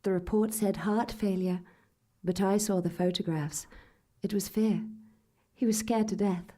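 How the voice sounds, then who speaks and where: A woman's voice: medium pitch, steady tone, a little slow, warm tone, lightly acted. A woman playing a troubled, portentous character who gives grave warnings in a live gothic stage drama. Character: troubled, ominous woman warning of a deadly town curse.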